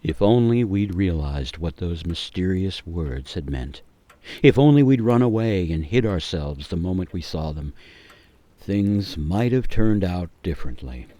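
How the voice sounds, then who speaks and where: A man with a low voice narrates a dramatic radio story. His delivery is measured and theatrical, building suspense. The sound is dry, with no room echo. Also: expressive, dark tone.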